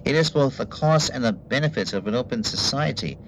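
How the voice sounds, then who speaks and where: An actor playing a prim, officious bureaucrat in a radio play, formal and pedantic with stiff politeness. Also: male voice, mid-range voice, animated, a little fast, background noise, lightly acted. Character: prim, officious male bureaucrat running a facility's intercom service.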